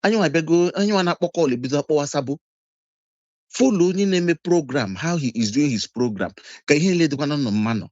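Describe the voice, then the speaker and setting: Fast-talking caller on a dull line. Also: male voice, a little high, animated, darkish tone, no room sound. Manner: switches rapidly between Igbo and English.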